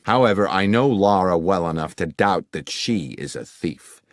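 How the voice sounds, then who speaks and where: A man's voice: sits low, animated, normal speed, no room sound, studio quality. A man voicing a formal, wordy middle-aged businessman client who is fond of puns, in an audiobook. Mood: embarrassed, confiding. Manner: formal, long-winded, jovially self-amused. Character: anxious middle-aged businessman client, verbose, pun-loving. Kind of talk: audiobook character dialogue.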